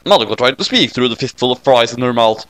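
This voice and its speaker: Animated man narrating a comic story. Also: mid-range voice, fast talker, no echo. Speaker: narrator reading fan fiction.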